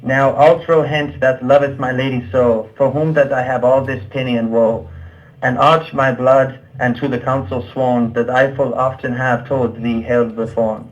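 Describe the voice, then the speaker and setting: A man's voice: mid-range voice, little pitch movement, moderate pace, lo-fi sound, close to an everyday voice. A man playing a wretched, lovelorn medieval knight in a puppet show, reciting old verse in a declamatory way. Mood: angry, accusing.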